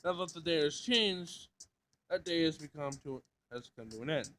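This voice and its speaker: A man voicing a blunt, literal-minded robot in a schoolgirl's body, formal and deadpan, in a visual novel. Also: fairly high pitch, normal speed, natural voice. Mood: matter-of-fact.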